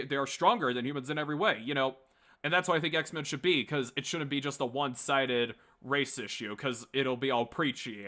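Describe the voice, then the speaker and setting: Bright-voiced man, rambling casual commentary. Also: a little high. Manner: rambling, stop-start, emphatic, informal with swearing. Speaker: host of a late-night pop culture commentary show. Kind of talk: casual opinionated commentary on comics and films.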